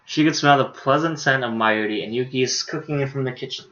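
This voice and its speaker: A man's voice: mid-range voice, brisk, pushed and bright, highs cut off. A tired male streamer reading a visual novel aloud in a laid-back way, with casual asides. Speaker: live streamer.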